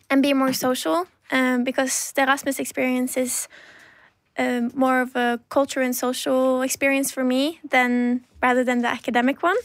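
High, even-voiced young woman student. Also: no echo. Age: 20s. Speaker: exchange student guest. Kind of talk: casual chat.

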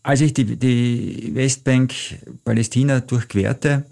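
A middle-aged man with an Austrian German accent, a travel author telling anecdotes in a reflective, measured way as an interview guest. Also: medium pitch, fairly even intonation, vocal fry, a little mellow. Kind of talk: interview answers, storytelling.

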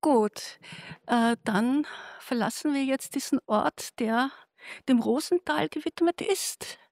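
A woman's voice: sits high, slow pace, a little mellow, no room sound. A woman leading an interview with an artist, asking curious questions and steering the talk from one picture to the next. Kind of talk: gallery interview.

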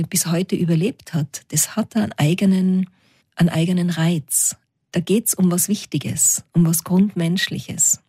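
A woman with a Tyrolean Austrian accent, a storyteller answering interview questions in a lively, reflective way. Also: fairly low pitch, slightly rough, no echo, clean studio sound. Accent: Tyrolean Austrian German.

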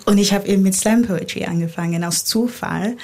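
A woman's voice: mid-range voice, fairly quick, darkish tone. A young woman, answering reflectively as a guest poet in a live interview. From born in Nigeria, grew up in Graz, Austria.

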